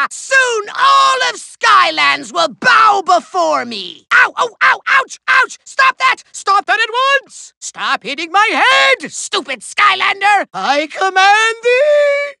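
A man voicing a short-tempered megalomaniac villain in a giant robot body for a video game, shrill, raspy and nasal, pompous, bombastic and gloating. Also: big pitch swings, takes it slowly, few pauses, pushed and bright, dry recording, raised voice, full character voice. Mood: pained, indignant. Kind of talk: theatrical cartoon villain acting.

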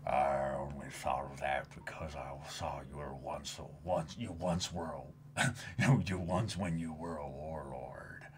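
A man voicing a proud pirate warrior from a manga in a hushed, breathy grumble. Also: rumbling low, unhurried, slight background noise, voice pushed down. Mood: calm, taunting.

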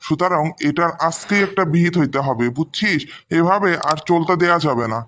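A man's voice: a little high, lively intonation, fairly quick, fairly bright tone, a little hiss or hum. A man voicing a defiant, protective young brother in a cartoon story, argumentative and insistent, with a Bangladeshi accent. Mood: resolute. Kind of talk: amateur cartoon story dubbing.

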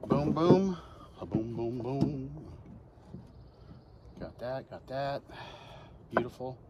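A man narrates his outdoor build in a casual, easygoing way, muttering encouragement to himself between steps. His voice sits in a middle register. Loud power tool noise runs underneath. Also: medium pitch, slow pace, lo-fi sound. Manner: talks to himself while working, muttering encouragement. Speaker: homesteading vlogger. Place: outdoors on a homestead.

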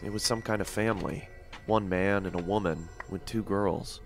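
A man with a low voice narrates a creepy first-person story in a steady, measured read. The delivery is scripted and even. Music plays clearly underneath the narration.

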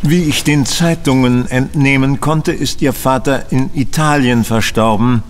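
An actor playing a composed, courteous, self-assured gentleman detective in a radio play, measured and polite. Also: medium pitch, slight background noise, lightly acted.

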